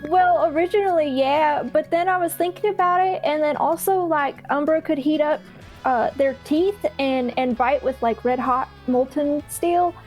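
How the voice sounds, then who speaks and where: A woman's voice: sits high, moderate pace, darkish tone, faint music behind. A woman playing a tabletop game, eagerly rambling through playful gear ideas. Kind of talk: in-character casual banter.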